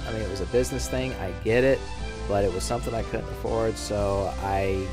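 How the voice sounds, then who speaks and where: A man's voice: fairly low pitch, steady tone, fairly quick, over music. A man giving an unscripted, casual audition announcement for a fan dub, speaking in a hesitant, rambling way with frequent restarts.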